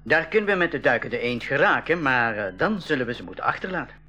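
A man playing a practical, cautious jungle guide in a radio drama, instructing in a deliberate way. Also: mid-range voice, sing-song, pushed and bright, dull audio, soft background music. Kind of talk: 1980s school radio drama acting.

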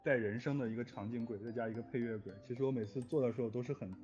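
A man's voice: medium pitch, fairly even intonation, very fast, a little mellow. A man talking casually and reflectively as a composer in an interview, earnest, with somewhat hesitant phrasing. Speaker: music composer for audio dramas. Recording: dull lo-fi audio with music behind. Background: music.

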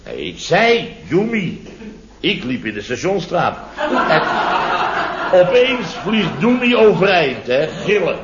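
An actor playing a flustered contractor in a 1970s radio comedy, telling domestic mishaps in halting, repetitive sentences full of tag questions. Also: male voice, high voice, very animated, very noisy, exaggerated voice. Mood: exasperated. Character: flustered male contractor recounting his chaotic domestic mishaps. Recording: dull vintage radio recording.

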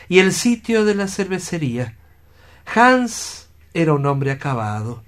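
This is a sanatorium doctor in a radio play. The voice is a little higher than average, calm and kindly, with measured, reflective delivery and a literary turn. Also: male voice.

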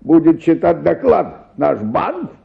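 A man's voice: a little high, huge pitch swings, takes it slowly, creaky, breathy edge, phone-quality sound, exaggerated voice. An actor playing an exhausted, ailing and irritable bank official in a theatrical radio play, groaning and sighing as he talks. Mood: aggrieved self-pity.

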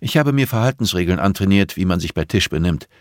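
A man voicing a composed, middle-aged blind teacher in an audiobook, patient and measured, with a dryly pointed way of explaining. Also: deep voice, animated, no room sound, studio quality. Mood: calm, reflective. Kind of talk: audiobook character dialogue.

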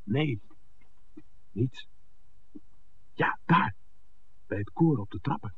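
An actor playing a shrewd, dryly teasing film scout in a 1960s radio play, speaking in an articulate, deliberate way. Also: male voice, mid-range voice, animated. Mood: wary, dry. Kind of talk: 1960s radio drama acting. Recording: muffled, noisy old radio broadcast recording.

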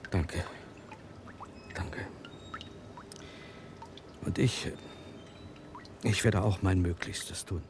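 An earnest, troubled inventor in a radio play. His voice is lowish and gravelly, with faint ambience behind. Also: very slow, pauses often, background noise, exaggerated voice. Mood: subdued, resolved. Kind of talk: German radio play acting.

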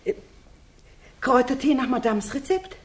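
A timid, simple-minded maid in a 1960s German radio drama. Her voice is high and childlike. She speaks with dutiful, slow-witted hesitation. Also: animated.

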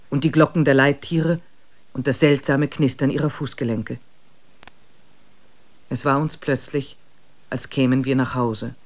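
A man narrating a radio play in a measured, solemn storytelling voice. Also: a little high, natural voice.

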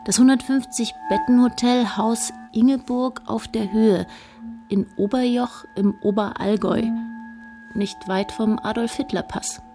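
A woman's voice: fairly high pitch, steady tone, measured pace, music in the background. An actress playing an observant female historian, narrating in a precise, dryly descriptive manner for a radio drama.

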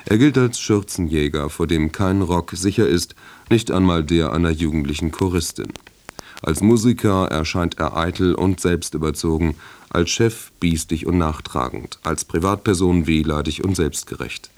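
A male radio announcer with a deep voice reads prepared broadcast copy. His delivery is measured and formal. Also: darkish tone. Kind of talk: scripted broadcast announcement, credits and preview.

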